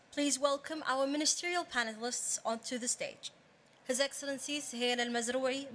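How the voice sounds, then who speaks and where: A woman's voice: high-pitched, a bit bright, slight background noise. A woman hosting a summit as emcee, formally introducing speakers and panelists. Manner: formal, ceremonial. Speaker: event emcee. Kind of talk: formal stage announcements. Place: large conference hall.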